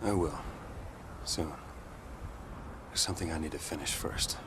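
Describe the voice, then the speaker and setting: Deep, stoic ninja hero. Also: male voice, measured pace. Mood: grave. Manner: terse, deadpan. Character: stoic adult male ninja hero, terse and grave. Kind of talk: video game cutscene acting. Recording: very noisy background, engine and ambience.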